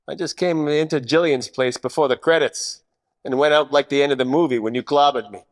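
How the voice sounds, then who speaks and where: A man playing a wisecracking, world-weary 1940s private detective, narrating a radio noir parody in deadpan hard-boiled style. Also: fairly high pitch, a little fast, big-room sound, natural voice.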